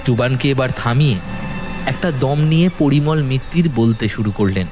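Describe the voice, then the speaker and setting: A man's voice: medium pitch, normal speed. Man narrating a suspenseful horror story over music. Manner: suspenseful, theatrical delivery.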